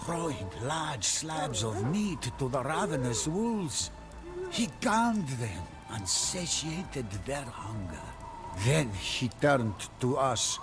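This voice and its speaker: A man reading dramatic scripted narration for a game cutscene in a solemn, deliberate, theatrical manner. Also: mid-range voice, big pitch swings. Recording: music behind the voice. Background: dramatic music.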